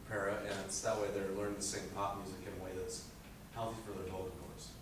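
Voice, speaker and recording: Teen boy, low monotone voice, earnest student presenter, distant mic in an echoey school room with noise. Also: fast. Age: teens. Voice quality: low speaking voice. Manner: earnest, rambling with many "uh" fillers. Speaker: high school student presenting a senior project. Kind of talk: presentation speech.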